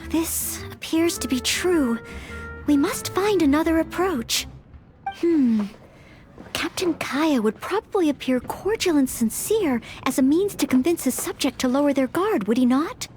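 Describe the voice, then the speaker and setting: A young maid knight from an anime-style game. The voice is very high and lifts and dips in a lively way, with courteous, formal, slightly hesitant phrasing. Earnest and eager to help. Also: female voice, a little slow, soft background music. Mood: musing, earnest. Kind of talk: scripted anime-style video game acting.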